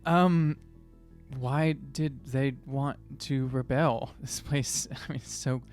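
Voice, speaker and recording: A man's voice: mid-range voice, fairly even intonation, slow pace, a little mellow. A man playing a tabletop roleplaying game, chatting casually in an irreverent, joking and sweary way. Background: faint music.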